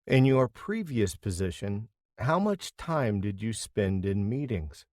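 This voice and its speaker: A man playing an interviewer in a scripted practice interview for English learners, reading questions clearly. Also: fairly low pitch, very animated, takes it slowly, a little mellow, dry recording, clean studio sound.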